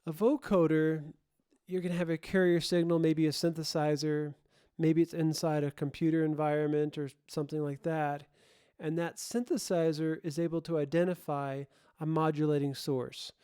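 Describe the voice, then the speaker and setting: Guy doing a casual tutorial, soft mellow clean voice, kinda halting, backs up and rephrases, really dry sound. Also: a little high, flat delivery, smooth, no room sound. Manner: halting, thinking aloud, self-correcting. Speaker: host, audio production tutorial presenter. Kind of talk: informal explainer tutorial.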